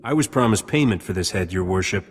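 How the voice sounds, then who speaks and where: An actor playing a cool, cocky spaceship captain in a video game, with a dry, confident delivery. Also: male voice, low voice, expressive, fast, slight background noise. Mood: annoyed. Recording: echoey hall, faint music behind.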